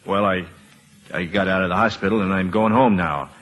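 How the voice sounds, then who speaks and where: An actor playing a gruff, guarded war veteran, terse and halting, with a husky voice, in an old-time radio drama. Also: male voice, low-pitched, fairly bright tone, faint music behind. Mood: awkward. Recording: reverberant old radio broadcast.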